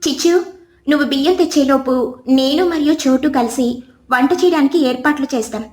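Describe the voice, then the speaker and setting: A woman's voice: sits high, fast, darkish tone, echoey room, lightly acted. A woman voicing a kindly female bird leader in a cartoon, encouraging and giving directions to others. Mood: directing.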